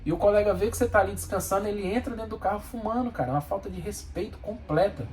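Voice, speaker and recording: A man's voice: sits high, racing, darkish tone, faint music behind. A Brazilian man speaking Portuguese with a Brazilian accent, a bus driver giving his plain-spoken, opinionated views in a casual vlog and repeating himself for emphasis.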